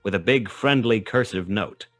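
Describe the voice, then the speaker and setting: An actor playing a wry, hard-boiled private detective, narrating a noir radio drama in a dry, sardonic way. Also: male voice, on the low side, moderate pace, fairly bright tone, soft background music, natural voice. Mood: wry narration.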